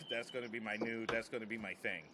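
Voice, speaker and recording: A man's voice: fairly low pitch, steady tone, a little fast, bright, forceful tone. A man who works as a comedian, hosting a casual podcast chat in a rambling, joking, slangy way.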